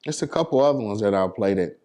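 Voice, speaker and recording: A man's voice: fairly low pitch, lively intonation, fast talker, a crowd in the background. An American man speaking casually as an interview guest, colloquial and full of conversational check-in phrases. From New York City, USA. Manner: colloquial, peppered with "you know what I'm saying".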